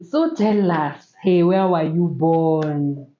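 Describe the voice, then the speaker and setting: A woman hosting a faith-based interview, constantly murmuring soft affirmations as her guest talks. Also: low-pitched, slow pace. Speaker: host. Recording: echoey room, dull lo-fi sound.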